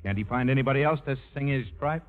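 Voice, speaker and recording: An actor playing a wisecracking, bitter songwriter husband, sardonic and self-pitying, in 1950s radio drama style. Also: male voice, medium pitch, fast, natural voice. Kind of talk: 1950s radio drama acting and wry narration. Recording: muffled, tinny 1950s radio recording, faint music.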